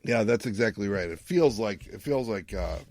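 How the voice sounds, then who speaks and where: Low-pitched man recapping a show casually. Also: animated, normal speed, a little mellow, no echo. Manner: jokey, paraphrasing dialogue in recap. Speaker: podcast host. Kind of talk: casual episode recap with a co-host.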